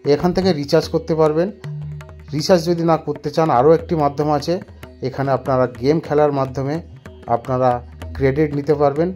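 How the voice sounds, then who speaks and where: A man walks listeners through an app tutorial. His voice sits a little high for a man. He explains in instructional steps and often repeats himself, with light instrumental music of plucked strings and tabla underneath. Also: fairly even intonation, moderate pace, dark tone. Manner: instructional, repeats points and phrases. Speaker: tech tutorial presenter. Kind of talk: step-by-step app tutorial narration. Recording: music bed behind the voice.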